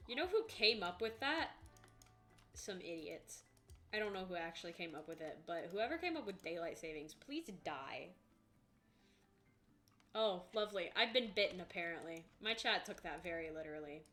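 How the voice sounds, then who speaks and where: A woman's voice: lowish voice, very animated, moderate pace, a bit bright, soft background music. A tired woman streamer chatting casually with viewers, joking bluntly and dryly.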